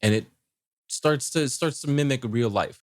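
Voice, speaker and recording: A man explaining things in a casual podcast chat, halting and thoughtful with light amusement. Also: medium pitch, no room sound, studio quality. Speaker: podcast speaker.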